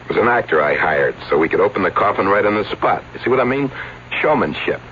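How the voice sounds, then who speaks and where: A man's voice: low voice, expressive, quick pace. A man playing a defensive showbiz agent explaining a publicity stunt in an old radio drama. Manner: earnest, defensive, showman's patter. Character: fast-talking showbiz agent, defensive and sheepish. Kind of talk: 1970s radio drama acting. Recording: dull old radio broadcast with hiss.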